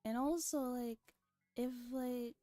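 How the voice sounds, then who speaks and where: A woman's voice: fairly high pitch, steady tone, slow pace, dark tone, no room sound, faint music behind. A young woman livestreamer chatting casually to her viewers in an informal, rambling, teasing and giggly manner, on a close microphone.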